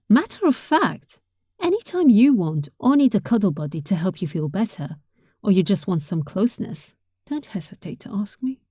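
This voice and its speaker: A woman's voice: medium pitch, big pitch swings, normal speed, no echo. A woman playing a sweet, affectionate young catgirl roommate in an intimate roleplay, speaking tenderly and breathily, often close to a whisper. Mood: cozy, playful. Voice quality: soft, breathy. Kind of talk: intimate roleplay audio, softly acted monologue.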